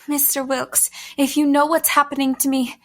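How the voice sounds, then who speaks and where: A high, youthful female voice, acted by a woman playing a hunted young woman in an audio drama. She is frightened and bewildered. Her delivery is earnest and pleading, with hesitant breaks. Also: level tone, moderate pace. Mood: hesitant, confused.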